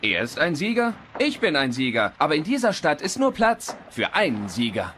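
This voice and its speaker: Very animated young race car. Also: male voice, on the high side, moderate pace, exaggerated voice. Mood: upbeat. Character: confident, upbeat, friendly young race car champion. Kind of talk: animated film dub acting. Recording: faint background noise.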